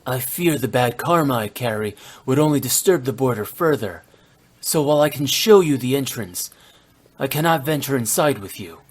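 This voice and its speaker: A man voicing a stern, brooding, youthful immortal warrior in a video game, speaking in a grave, formal and terse manner with a husky voice. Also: mid-range voice, lively intonation, normal speed, natural voice. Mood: somber.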